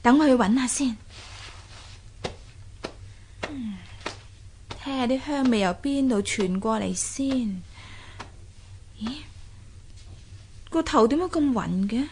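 A woman's voice: fairly high pitch, a little slow, pauses often, darkish tone, a little hiss or hum. An actress playing a curious, plucky young fisher maiden in a radio drama, exclaiming and sighing as she talks to herself. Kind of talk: Cantonese radio drama acting.

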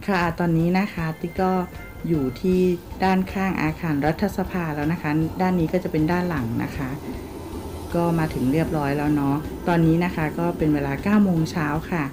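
A woman from Thailand narrating a casual travel vlog, polite and chatty, with soft endings on her sentences. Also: low voice, steady tone, fairly quick, music in the background. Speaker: travel vlogger. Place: outdoors in a city, partly on a tram.

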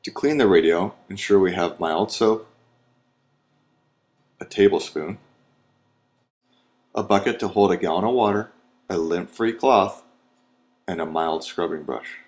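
A man's voice: low voice, unhurried, long pauses, a little mellow. An American man narrating a scripted training video as an instructor, in a methodical, step-by-step manner.

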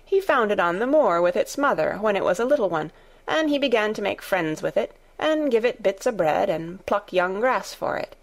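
A woman's voice: mid-range voice, animated, normal speed, natural voice. A woman voicing a good-tempered, outspoken young Yorkshire maid with a broad, homely dialect, in a storybook reading. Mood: chatty.

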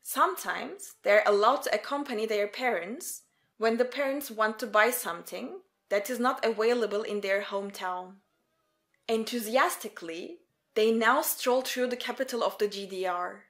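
Female narrator, slightly high voice, scripted documentary storytelling. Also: normal speed, a bit bright.

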